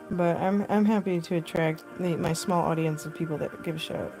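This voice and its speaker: A young woman with a deep voice, chatting casually and rambling with lots of fillers while she sculpts on a live stream, recorded on a phone. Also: warm tone, rough recording. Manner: rambling, filler-heavy, laughs often. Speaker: costume artist streaming live.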